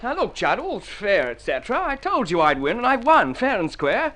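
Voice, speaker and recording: An actor playing a cocky, exasperated young rival suitor in a vintage radio drama. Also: male voice, high-pitched, huge pitch swings, normal speed, bright, forceful tone, heavily acted. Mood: smug triumph.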